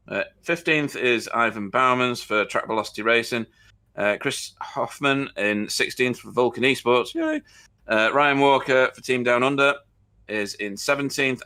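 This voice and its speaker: A man's voice: medium pitch, bright, forceful tone. A man doing chatty, joking live commentary on a sim race. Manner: chatty, self-mocking, joking.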